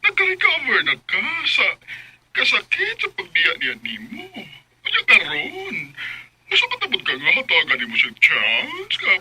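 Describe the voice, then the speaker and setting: A woman's voice: mid-range voice, huge pitch swings, a little slow, sharp tone, husky, no room sound, exaggerated voice, poor audio. An actress playing a concerned, blunt woman confidante in melodramatic Cebuano radio drama style.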